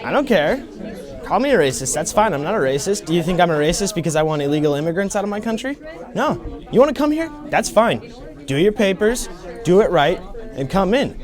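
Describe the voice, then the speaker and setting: A woman's voice: on the low side, sing-song, fairly quick, a little mellow. A woman being interviewed as a political supporter, speaking casually in blunt, defiant, clipped phrases. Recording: crowd noise around the voice.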